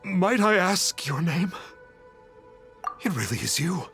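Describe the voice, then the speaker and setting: A man's voice: high-pitched, big pitch swings, slow, fairly bright tone, exaggerated voice. An actor playing a pompous male fake exorcist in a video game, with a grandiose manner of speech. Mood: reverent. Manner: grandiose, calls himself "one". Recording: game audio with faint music.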